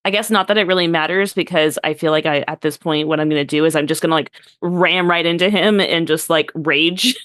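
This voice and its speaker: Relaxed player, counts moves aloud, asks questions, dry close sound. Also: mid-range voice, brisk, few pauses, no room sound. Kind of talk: casual chat at a game table.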